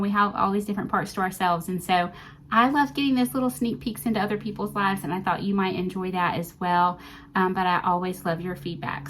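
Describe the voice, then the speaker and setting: A woman, chatting casually to her viewers in a rambling, filler-heavy way, her voice hoarse and deepened by illness. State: hoarse, deep voice after illness. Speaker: vlogger. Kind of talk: casual chat to camera. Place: home and backyard garden.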